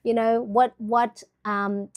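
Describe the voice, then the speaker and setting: A woman's voice: fairly high pitch, little pitch movement, slow pace. Filipino-born lady rambling casually on her planner livestream, lots of ums and restarts. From Philippines. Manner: chatty, rambling with many fillers and restarts.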